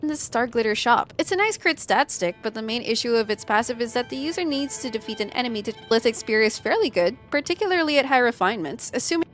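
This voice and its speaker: A woman's voice: on the high side, lively intonation, fast talker, hardly any pauses. A woman delivering scripted explainer narration for a game guide. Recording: music under the narration.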